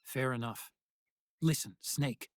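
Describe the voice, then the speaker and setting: A man's voice: medium pitch, slow, no room sound, studio quality, lightly acted. An actor playing an earnest, brainy male tech support partner in a video game, explanatory and slightly hesitant. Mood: informative. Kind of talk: dramatic video game voice acting.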